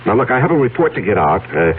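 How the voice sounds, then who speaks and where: A man's voice: lowish voice, big pitch swings, fast, a little hiss or hum. An American actor playing a harried, scheming executive in a 1970s radio drama, hesitant and stammering over his words. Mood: evasive, nervous. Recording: muffled phone-like 1970s radio broadcast, music.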